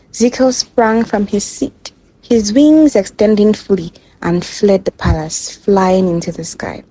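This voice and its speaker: A woman storyteller reading a scripted folktale in a dramatic, measured way. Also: medium pitch, dark tone, breathy edge, low-quality recording.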